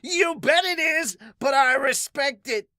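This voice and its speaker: A man voicing brash carpenters in a fan manga dub, shouting in a strained way with rough slang. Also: very high voice, unhurried, no room sound, studio quality, voice pushed up, full character voice. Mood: brash, loud. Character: gruff, brash workshop boss and cheeky carpenter underling.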